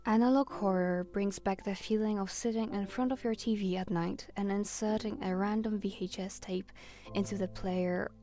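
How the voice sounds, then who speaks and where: A woman narrating a scripted video essay in an earnest, reflective way with measured phrasing. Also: mid-range voice, mellow.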